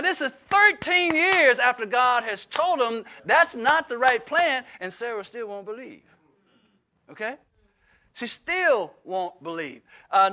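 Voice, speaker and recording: Folksy older preacher, bright voice. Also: male voice, extremely high pitch. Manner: folksy, digressive, frequent fillers and restarts. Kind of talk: Bible-study sermon. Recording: dull lo-fi recording.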